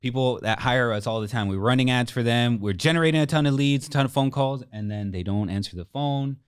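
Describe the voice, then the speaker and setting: A man's voice: mid-range voice, brisk, smooth, dry recording, clean studio sound. A man co-hosting a business podcast, explaining things informally and speaking straight to the listeners. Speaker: podcast co-host, marketing agency coach. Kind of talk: casual business podcast conversation.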